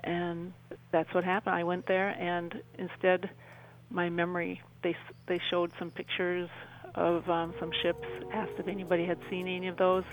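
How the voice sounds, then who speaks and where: A woman's voice: on the low side, completely flat delivery, moderate pace, faint music behind, poor audio. A woman telling a personal story as an interview guest in conversation.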